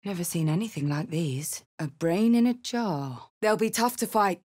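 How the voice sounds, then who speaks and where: A woman's voice: fairly low pitch, normal speed, darkish tone, close to an everyday voice. An actress voicing a battle-hardened tiefling barbarian woman in a video game, with a blunt, earthy, wry way of talking. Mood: matter-of-fact. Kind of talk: video game character voice lines.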